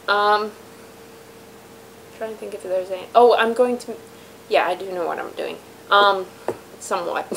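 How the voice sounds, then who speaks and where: A woman hosting her home web show in a rambling, self-deprecating monologue, her voice raspy from being sick. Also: medium pitch, a bit bright, slight background noise, rough recording. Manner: chatty, self-deprecating, many fillers and trailing asides. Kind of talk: rambling casual monologue to viewers. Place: room in a house.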